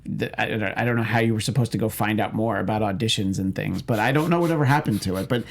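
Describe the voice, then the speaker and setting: Fast-talking middle-aged man with creaky voice. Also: fairly low pitch, slight background noise. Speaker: panelist on a movie news talk show. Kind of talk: casual chat.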